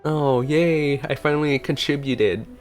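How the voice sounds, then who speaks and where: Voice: medium pitch, unhurried, faint music behind. A chatty, reactive streamer doing a casual gameplay reaction and reading along aloud.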